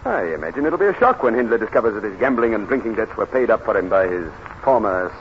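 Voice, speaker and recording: An actor playing a brooding, bitter self-made gentleman in 1930s radio drama, with a sardonic, commanding manner. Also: lowish voice, big pitch swings, fast talker. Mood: cool, sardonic. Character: brooding, bitter gentleman risen from stable boy. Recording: noisy, muffled 1939 radio recording.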